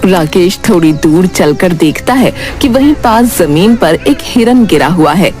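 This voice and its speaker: A woman giving scripted, dramatic storytelling narration for an animated moral story. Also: on the low side, a little slow. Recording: loud music behind the voice. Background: music.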